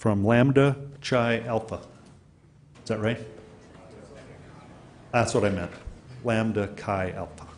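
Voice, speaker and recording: Low-voiced guy running a council meeting, relaxed, lots of uh, faint room hum. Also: slow, long pauses. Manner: relaxed, procedural, with fillers. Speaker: mayor chairing the meeting.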